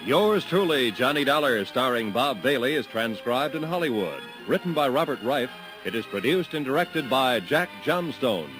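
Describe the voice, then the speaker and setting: A male radio announcer reads credits in a formal, old-fashioned broadcast style. His pitch moves a lot, and theme music plays clearly under him. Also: moderate pace, few pauses, poor audio. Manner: lively, emphatic announcer delivery.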